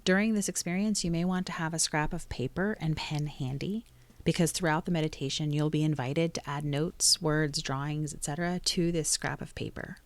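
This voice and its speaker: A woman narrating a guided meditation in a soothing, gently instructive way, pausing between short phrases. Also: sits low, steady tone, no room sound.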